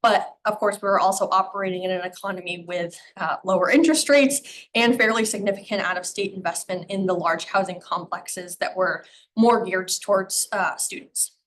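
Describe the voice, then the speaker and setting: A woman gives a public presentation on town housing figures. Her voice is slightly hoarse from a cold, and she explains things plainly, filling pauses with ums and uhs. Her pitch sits in the middle of the range, with a faint rumble behind her. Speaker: town planner presenting a comprehensive plan.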